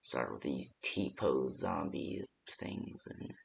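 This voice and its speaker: Halting, slow-talking man with rambling delivery. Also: a bass voice, expressive, vocal fry, slight background noise. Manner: halting, hesitant, rambling with many ums; casual, unpolished delivery. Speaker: phone-call guide in a fan horror game. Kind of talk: improvised game phone-call voice lines.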